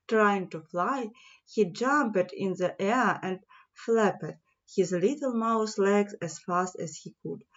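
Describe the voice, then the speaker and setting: Female storyteller for children's fairy tales, slow delivery, emphatic key words, slightly muffled lo-fi sound. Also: medium pitch. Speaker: children's audiobook reader. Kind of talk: fairy-tale narration for children.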